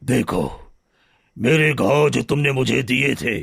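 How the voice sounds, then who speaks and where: Gravelly, solemn lion acted by a man. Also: medium pitch, slow, a bit bright, no room sound, studio quality, heavily acted. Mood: solemn, reproachful. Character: proud, wounded male lion, loyal friend turned stern. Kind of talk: scripted Hindi cartoon story dialogue. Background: background music.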